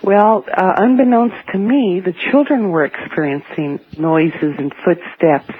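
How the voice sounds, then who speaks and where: A middle-aged woman calling a radio show, earnestly and haltingly recounting a personal ghost story. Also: lowish voice, slight background noise. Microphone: telephone. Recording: muffled narrowband telephone line on radio.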